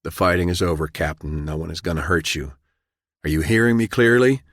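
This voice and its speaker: A man voicing a stern, battle-weary former army colonel who is principled and speaks in a commanding, forceful way. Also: low voice, lively intonation, a little fast, dry recording, clean studio sound. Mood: weary, reassuring.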